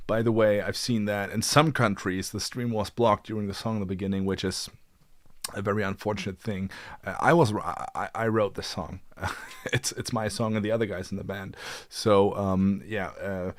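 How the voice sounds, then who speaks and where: A man in his late twenties with a fairly low voice, recorded close and dry. He hosts a casual livestream, rambling a little and often doubling back on his words as he gathers his thoughts. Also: fairly even intonation, moderate pace, dry recording. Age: late 20s. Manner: hesitant, rambling delivery with repeated words. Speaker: livestream host, guitarist.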